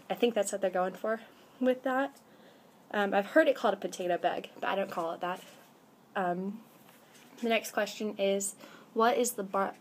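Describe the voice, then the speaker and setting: A woman in her twenties from the American Midwest, with a Midwestern accent, chatting casually on a webcam vlog and saying um often. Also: mid-range voice. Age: 20s. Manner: chatty, with frequent ums. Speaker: vlogger. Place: indoors by a window. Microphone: webcam at arm's length.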